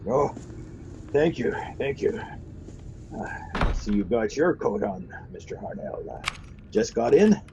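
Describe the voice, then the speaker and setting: A man's voice: mid-range voice, big pitch swings, a little slow, gravelly, pauses often, noisy background. An actor playing a genial, aging beat policeman in 1940s radio drama style, hesitant, folksy and polite. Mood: apologetic, friendly. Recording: dull old radio recording, music behind.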